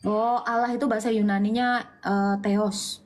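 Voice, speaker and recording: A woman's voice: medium pitch, flat delivery, normal speed. A woman in a heated online debate, mocking and insistent, repeating her questions in slangy, informal speech.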